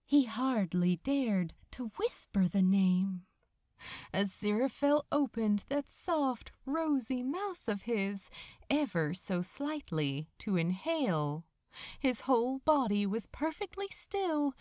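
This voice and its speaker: A woman narrates fiction in an expressive, dramatic style. She reads very slowly, with drawn-out pauses and a melody that rises and falls widely. The recording is dry and close. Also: fairly high pitch, dry recording. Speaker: audiobook narrator. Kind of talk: expressive scripted story narration.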